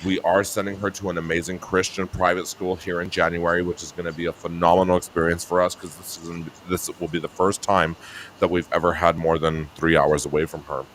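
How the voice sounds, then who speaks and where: A man with a deep voice talking casually as a guest on a chat show, laughing often. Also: little pitch movement, a little fast, slight background noise, rough recording. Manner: laughing, breaks into high shouty voice when mimicking himself. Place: New Mexico home.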